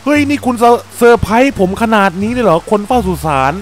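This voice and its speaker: A young man giving playful, excitable commentary while playing a block-building game, exclaiming often and joking with viewers. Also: extremely high pitch, fast talker, faint music behind. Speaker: Minecraft gameplay YouTuber.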